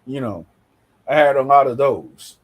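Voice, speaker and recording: A man's voice: medium pitch, lively intonation, measured pace. A man with a New York accent from the Bronx, hosting a casual chat and telling rambling stories with many fillers. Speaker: host.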